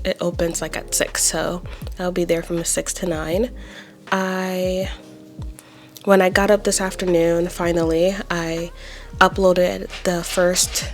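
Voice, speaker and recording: A young woman, a pottery and watercolor artist, chatting casually in her vlog with a raspy voice from not talking all day, rambling with lots of "like" and "um.". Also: lowish voice. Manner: rambling, with frequent "like" and "um".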